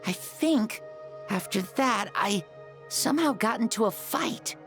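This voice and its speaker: A woman voicing a shy, frail young boy in a video game, who speaks softly and haltingly. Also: a little high, expressive, faint music behind. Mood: wondering. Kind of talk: game voice acting.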